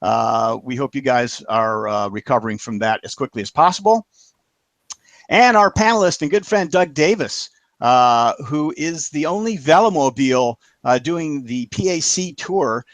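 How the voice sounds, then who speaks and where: A man hosting a web show, wrapping up with halting, filler-heavy announcements and friendly thanks to panelists and viewers. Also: medium pitch, animated, no room sound. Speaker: show host.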